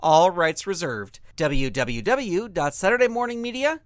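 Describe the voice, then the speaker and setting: Guy announcer reading out show credits, upbeat, bouncy pitch, really dry close sound. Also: lively intonation, measured pace, no echo.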